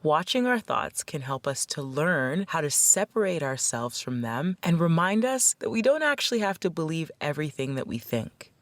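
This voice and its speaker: A woman leading a guided meditation as a facilitator, speaking in a gentle, measured, instructional way. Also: low-pitched, sing-song, no echo.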